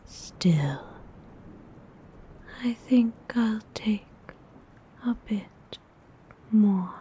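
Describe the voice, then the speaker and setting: Haughty female vampire voiced by a woman, whispered, very slow and drawn out, low and mellow. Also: lively intonation, slightly rough, heavily acted. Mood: indulgent. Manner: haughty, formal phrasing. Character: predatory female vampire, aloof and possessive. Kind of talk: ASMR role-play audio.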